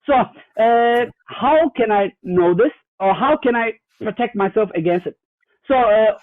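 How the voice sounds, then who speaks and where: A man's voice: sits high, dry recording. A man from Germany, a guest cybersecurity executive in a fireside chat, explaining with examples in an earnest way full of hesitant fillers and restarts. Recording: tinny phone-like remote line, dry.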